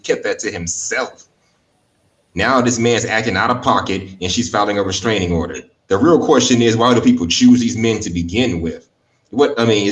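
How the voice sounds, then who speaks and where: A man giving a critical, colloquial opinion monologue as a panel guest. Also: fairly low pitch. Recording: distant from the mic.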